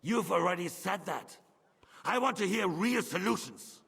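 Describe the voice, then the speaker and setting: High-pitched husky, imperious king. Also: lively intonation, unhurried, full character voice. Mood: angry, demanding. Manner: demanding, sharp interrogation. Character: imperious, impatient king berating his officials. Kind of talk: video game drama acting. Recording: long hall reverb.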